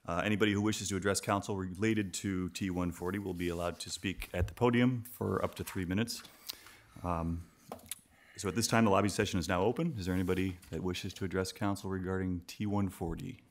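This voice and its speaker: Very deep-voiced man chairing a meeting. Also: fairly even intonation, fairly quick. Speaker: council president chairing a public meeting. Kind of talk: formal meeting procedure. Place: mid-sized council chamber indoors.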